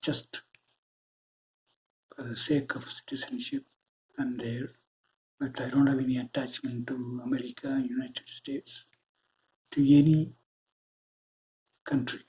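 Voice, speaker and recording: A man with an Indian English accent delivers a spiritual talk at an unhurried pace. His voice is dark and mellow, and he speaks with earnest, deliberate pauses between phrases. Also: mid-range voice, level tone, slight background noise, low-quality recording. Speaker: spiritual channel host. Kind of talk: spiritual monologue.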